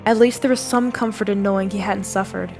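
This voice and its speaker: A woman's voice: medium pitch, steady tone, a little mellow. Solemn woman narrator over faint music. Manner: measured, solemn storytelling. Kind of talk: scripted dramatic narration of a fan audio drama.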